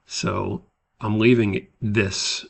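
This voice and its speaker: A man giving a step-by-step spreadsheet tutorial as an instructor, explaining deliberately. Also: lowish voice, little pitch movement, vocal fry.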